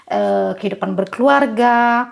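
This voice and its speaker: An Indonesian woman hosting a casual podcast chat in a giggly, animated manner. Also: fairly high pitch, a little slow, vocal fry. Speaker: podcast host.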